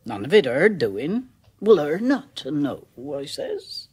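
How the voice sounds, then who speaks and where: An old village gossip. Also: female voice, sits low, very animated, slow, husky, full character voice. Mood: apologetic. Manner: rustic dialect, hushed and uneasy, dropping to whispers. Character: older village woman, a nervous, superstitious local. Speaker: village neighbour. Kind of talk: radio drama acting.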